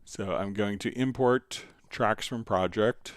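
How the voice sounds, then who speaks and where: Low, smooth-voiced man hosting a tutorial. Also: slow pace, dry recording, clean studio sound. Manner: explains step by step while working. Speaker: live stream host, software instructor. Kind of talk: casual software tutorial, answering viewer questions.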